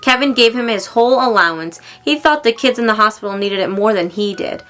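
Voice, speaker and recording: A woman reads a children's storybook aloud in an expressive way, acting out the exclamations and dialogue. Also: on the high side, a little fast, soft background music. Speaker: storybook reader.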